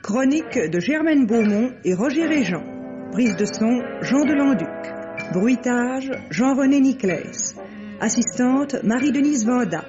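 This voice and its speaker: A woman's voice: fairly high pitch, lively intonation, a little slow. Female announcer, muffled vintage radio, music behind. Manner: formal, articulate. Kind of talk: formal scripted credits announcement. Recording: dull vintage radio recording.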